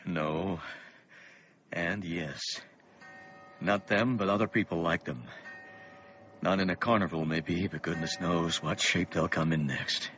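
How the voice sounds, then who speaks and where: An actor playing an earnest middle-aged father in a radio play, speaking in a theatrical, deliberate and emphatic way. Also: sits low, fairly bright tone. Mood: grave, reassuring. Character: earnest ageing father defying evil with tenderness. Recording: dull lo-fi audio with music.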